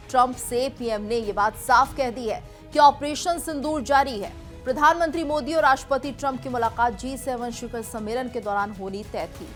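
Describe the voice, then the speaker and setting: A woman's voice: high-pitched, a bit bright. A woman news presenter delivering scripted television news narration.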